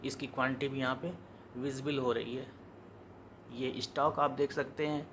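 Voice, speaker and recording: An Indian man giving an informal step-by-step software tutorial, explaining as he goes and repeating his instructions. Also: medium pitch, little pitch movement, moderate pace, slight background noise. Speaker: software demonstrator.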